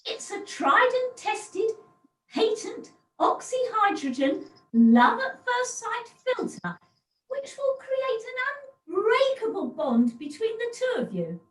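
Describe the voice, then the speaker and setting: A woman's voice: very high voice, wildly swooping pitch, takes it slowly, heavily acted. A woman playing a grand, patronising pantomime fairy, with theatrical delivery, on an echoey, distant home video-call recording. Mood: helpful, grand. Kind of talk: amateur pantomime acting.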